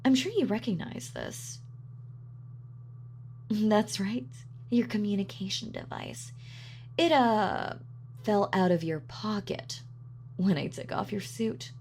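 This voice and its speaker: A woman's voice: mid-range voice, takes it slowly, pauses often, darkish tone, slight background noise. A woman playing a gloating, sadistic supervillain in an audio roleplay, with a mock-sweet, sardonic, taunting manner. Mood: smug, mock pity. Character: gloating female supervillain, sadistic, darkly playful. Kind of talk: villain monologue in audio roleplay.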